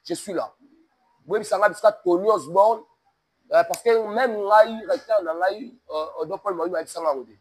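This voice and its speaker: High-voiced Congolese man preaching. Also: normal speed, rough recording. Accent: Congolese French. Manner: emphatic, mixes French and Lingala, sermon cadence. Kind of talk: preaching-toned interview talk.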